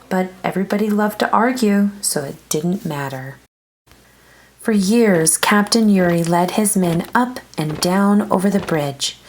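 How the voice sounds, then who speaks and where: A woman's voice: mid-range voice, slight background noise. A woman reading a children's story aloud as narrator, expressively, drawing out some phrases.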